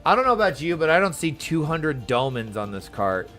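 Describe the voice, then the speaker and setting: A man's voice: fairly high pitch, sing-song, a little fast, bright, forceful tone. A man doing casual game playthrough commentary, with wry, joking asides. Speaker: gaming video host. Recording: music under the voice.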